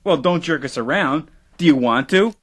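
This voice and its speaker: A man's voice: a little high, expressive, moderate pace, a bit bright. Blunt, ambitious male media boss. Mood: pushy. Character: blunt, ambitious male internet radio owner. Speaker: actor. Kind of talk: satirical audio drama acting.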